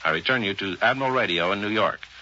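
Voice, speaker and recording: Sharp, forceful 1940s American newsman. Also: lowish voice, fast. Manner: matter-of-fact, formal. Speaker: news correspondent. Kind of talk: 1940s radio news report. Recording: dull, faintly noisy 1940s radio recording.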